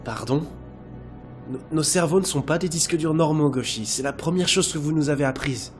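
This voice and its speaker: A man's voice: on the high side, normal speed, natural voice. A man playing a polite, resigned android caretaker in an audio drama, speaking formally and patiently. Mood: firm, resolute. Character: polite, resigned male android governess and student. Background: music.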